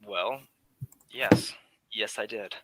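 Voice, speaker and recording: A man's voice: lowish voice, slow pace, fairly bright tone, no room sound, low-quality recording. A man playing a breezy, cynical English aristocrat in exile, in a theatrical radio drama manner. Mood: casual, cynical. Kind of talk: 1940s radio drama acting.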